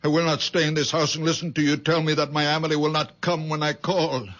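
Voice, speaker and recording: A man's voice: on the high side, level tone, fast talker, close to an everyday voice. An actor playing a very old man, a frail but stubborn father, in a 1970s radio drama. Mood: insistent. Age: older. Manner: quiet, then fiercely insistent.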